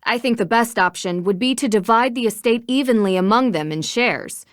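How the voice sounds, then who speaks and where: A woman playing a poised young female amateur detective in a video game, speaking with an American accent in a measured, articulate, matter-of-fact way. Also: on the high side, no room sound, studio quality, natural voice. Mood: earnest, explaining. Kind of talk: scripted game dialogue.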